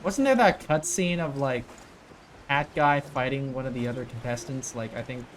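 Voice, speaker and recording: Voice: medium pitch, moderate pace, a little hiss or hum. A gaming creator giving casual reaction commentary, musing aloud and questioning things as they go.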